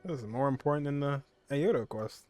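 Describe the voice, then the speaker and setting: A man's voice: medium pitch, a little fast, music in the background. A man reacting casually and informally as he plays a video game on stream. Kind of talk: casual reactions while playing.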